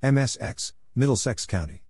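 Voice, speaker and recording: A man giving a scripted read-out as an instructor, spelling letters and saying names in short, measured, separate phrases. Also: medium pitch, expressive, darkish tone. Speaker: Morse code practice instructor. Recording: noisy recording with clicks.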